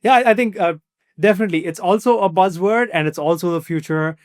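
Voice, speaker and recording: Smooth-voiced podcast host man. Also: high voice, fast, dry recording, clean studio sound. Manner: asks long, loosely worded questions. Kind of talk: casual interview.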